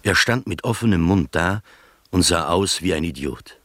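Male narrator, measured, literary radio play, low pitch, close dry sound. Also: lively intonation, fairly bright tone, dry recording. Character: observant male first-person storyteller.

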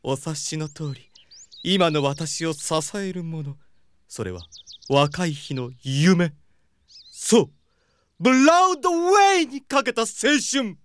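A male actor playing a flamboyant effeminate man in an audio drama, with feminine phrasing and dramatic, drawn-out delivery. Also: on the high side, sing-song, a bit bright, full character voice. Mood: wistful, theatrical. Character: flamboyant, theatrical effeminate man reminiscing about youthful stage dreams. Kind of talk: Japanese audio drama acting.

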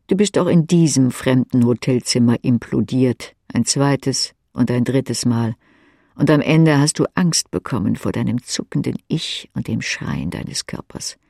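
A man reading intimate second-person literary narration as an audiobook narrator, with a husky, creaky voice. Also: medium pitch, a little mellow, dry recording, clean studio sound.

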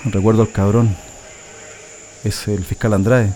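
A man playing a Chilean political prisoner in a radio drama, guarded and halting in his speech. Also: fairly low pitch, level tone, warm tone, a little hiss or hum, close to an everyday voice. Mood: somber, recollecting. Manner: halting, stammering.

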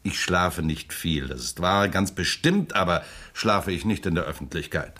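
A man's voice: low voice, expressive, normal speed, bright, forceful tone, heavily acted. A man playing a suave, amused prosecutor and politician in a radio play, ironic and self-assured, laughing often. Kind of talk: German radio play acting.

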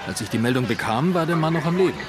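An actor playing a police lieutenant in a radio crime drama, brisk and official in manner. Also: male voice, on the high side, lively intonation, background noise, close to an everyday voice.

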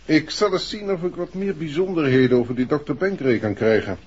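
A man's voice: on the high side, brisk, a little mellow, husky. An actor playing a businesslike, probing male police inspector in a 1960s Dutch radio drama. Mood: matter-of-fact. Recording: dull, muffled 1960s radio recording.

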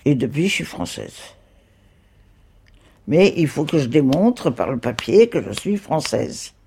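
An elderly woman in her eighties answers plainly and emphatically. Her pitch moves a lot, and her tone is mellow and dark. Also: sing-song, measured pace, slight background noise. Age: 80s. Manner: plain, matter-of-fact, emphatic. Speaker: interviewee.

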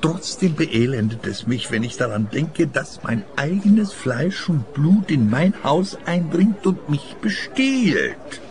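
Lively-pitched, plaintive old pensioner. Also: male voice, slow, hardly any pauses. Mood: aggrieved. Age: 70s. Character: contented, frail seventy-year-old pensioner, superstitious and plaintive. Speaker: radio play actor. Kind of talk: radio play dialogue.

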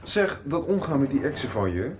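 A man's voice: medium pitch, sing-song, normal speed, background noise, close to an everyday voice. An actor playing a cheeky, teasing man in a radio soap, talking wryly. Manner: wry, teasing. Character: cheeky, teasing male housemate called Ferdy.

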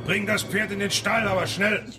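Man acting cruel workshop boss, high-pitched, creaky, barking, domineering, radio play with music behind. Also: fairly even intonation, normal speed, close to an everyday voice. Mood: angry, threatening. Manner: barking orders, threatening. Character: cruel, domineering tanner boss who bullies children.

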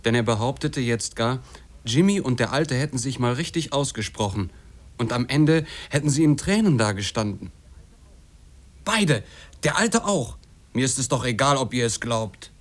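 A man's voice: mid-range voice, lively intonation, moderate pace, lightly acted. Protective, fiery seaman, played by a male actor, radio drama; middle register, emotional and blunt. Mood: insistent. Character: hot-headed, loyal, protective sailor, male. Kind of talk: German radio drama acting.

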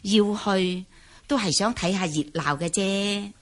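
A woman's voice: mid-range voice, a little slow, natural voice. A woman playing a middle-aged, talkative village tea seller and mother in a radio drama, folksy and deferential. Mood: deferential, polite. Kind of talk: Cantonese radio drama acting.

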